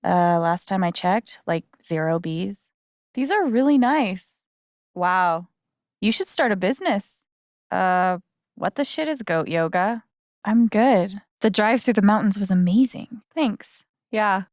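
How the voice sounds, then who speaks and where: A woman's voice: mid-range voice, animated, slow, a little mellow, dry recording, clean studio sound. A woman voicing a friendly, wry young newcomer in a video game, talking in a casual, naturalistic, dryly joking way. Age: young adult.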